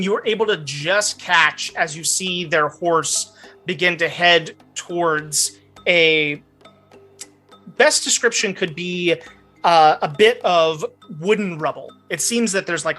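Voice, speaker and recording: Bright-voiced game master narrating over music. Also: mid-range voice. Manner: conversational, descriptive, addressing players directly. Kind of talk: improvised tabletop game narration. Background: background music.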